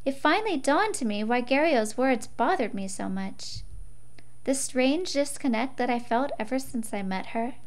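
A woman's voice: high-pitched, lively intonation, moderate pace. A woman narrating a visual novel expressively as she plays through it.

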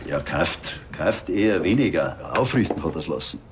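A man's voice: deep voice, lively intonation, normal speed. A man playing a plain-spoken small-town hat maker in a TV crime comedy, with a Bavarian accent and a matter-of-fact, colloquial manner. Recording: some background ambient noise.

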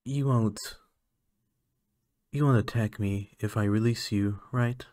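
A man's voice: fairly low pitch, takes it slowly, warm tone, no echo. A man playing a lonely, earnest alien scientist in an ASMR roleplay monologue, talking in a hesitant, musing way. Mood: hesitant, awkward.

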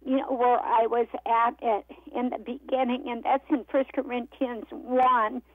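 High-voiced woman over a tinny phone line. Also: little pitch movement, no echo. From Iowa and Missouri, United States. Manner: earnest, halting, with many fillers. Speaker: guest author. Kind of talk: personal testimony in a radio interview. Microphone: telephone.

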